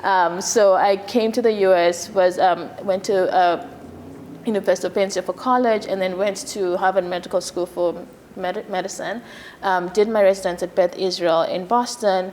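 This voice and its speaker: A woman surgeon from Zimbabwe in her thirties, giving a TV interview in a soft, hesitant way with many fillers. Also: mid-range voice, fairly even intonation, normal speed, slight background noise. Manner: soft-spoken, many fillers, laughs easily. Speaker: gynecologic surgeon, guest.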